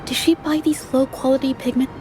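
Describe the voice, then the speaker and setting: A woman voicing a gentle, thoughtful young female painter in game dialogue, speaking softly and carefully. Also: high voice, fairly even intonation, brisk, slight background noise, lightly acted. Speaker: game character.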